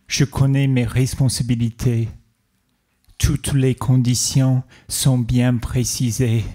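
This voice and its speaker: A man in his thirties from the Toronto area of Canada performs spoken-word poetry in measured short phrases with deliberate pauses. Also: medium pitch, darkish tone. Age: 30s. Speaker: poet and performer.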